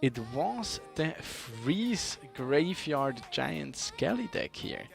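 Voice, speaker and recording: A man's voice: on the high side, very animated, takes it slowly, vocal fry. A man commentating an esports game in a casual, conversational way with frequent hesitations. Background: background music.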